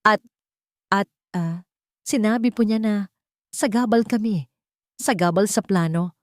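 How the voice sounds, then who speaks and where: Woman doing a scared tearful little filipino boy, halting and pleading, audio story, dry sound. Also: mid-range voice, no echo, voice pushed up, lightly acted. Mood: fearful, halting. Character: frightened, tearful young boy confiding in housekeeper.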